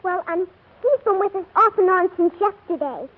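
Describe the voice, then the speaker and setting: A tinny vintage broadcast carries a little English girl. Her voice is extremely high and swoops in pitch, polite and matter-of-fact in old radio-play style. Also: animated, moderate pace. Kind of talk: 1940s radio drama acting.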